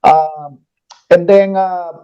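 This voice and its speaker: A man in his forties from Guatemala, a guest talking through his career in a casual interview, pausing on fillers and restarts. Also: high-pitched, steady tone, dark tone, clear, resonant tone, muffled recording. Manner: thoughtful, frequent fillers and restarts. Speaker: podcast guest, metal recycling executive.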